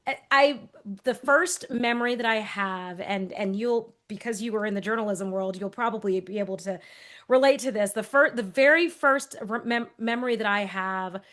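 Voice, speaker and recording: A woman's voice: mid-range voice, normal speed, fairly bright tone. A woman in her forties, raised in Texas, who speaks without a regional accent. She co-hosts a podcast and tells her own story in a thoughtful, earnest conversational way. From Texas, USA. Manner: earnest, thoughtful, with restarts and brief laughs. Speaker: podcast co-host.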